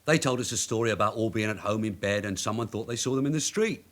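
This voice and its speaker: Brisk, shrewd veteran police sergeant played by an actor. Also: male voice, lowish voice, lightly acted. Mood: smoothly casual. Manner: brisk, persuasive, controlled. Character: shrewd veteran London detective sergeant, wily and insistent. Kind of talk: radio crime drama acting.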